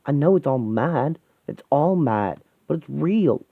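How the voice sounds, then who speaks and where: Guy doing a sweary runaway teen lad, high agitated voice, pleading, phone-like sound. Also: lively intonation, unhurried, creaky, breathy edge, dry recording, exaggerated voice. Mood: desperate. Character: frantic, foul-mouthed runaway teenage boy.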